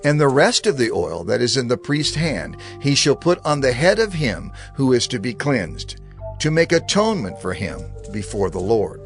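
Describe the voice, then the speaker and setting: A male narrator reads scripture aloud in a steady, reverent tone. His mid-range voice moves through a lively, expressive melody. Soft music hums faintly in the background. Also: mid-range voice, normal speed, vocal fry.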